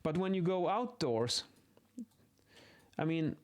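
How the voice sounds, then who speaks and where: A man with a slightly high voice teaches in an informal, improvised way. He thinks aloud as he explains, often halting and rephrasing mid-sentence like an instructor working something out as he goes. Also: sing-song. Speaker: acoustics instructor explaining measurement methods. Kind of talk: informal explanatory lesson, improvised while sketching.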